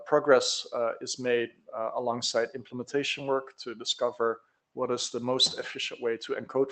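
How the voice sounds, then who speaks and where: A man moderating a technical working group meeting and giving informal remarks as a participant. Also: mid-range voice.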